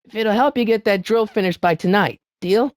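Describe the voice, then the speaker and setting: A man's voice: sits high, no room sound, studio quality, close to an everyday voice. A young man with an American accent voicing an earnest, anxious teenage boy in a video game, eager to persuade and often hesitant. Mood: eager, persuading. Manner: hesitant, stammering, eager, fast-talking persuader. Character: earnest, anxious teenage boy hero of a time-travel adventure. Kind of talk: video game character dialogue.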